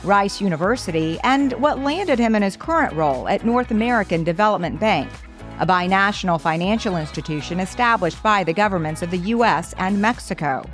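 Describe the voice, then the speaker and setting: A woman hosting a podcast interview, asking measured, upbeat questions. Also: medium pitch, lively intonation, faint music behind. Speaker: podcast host.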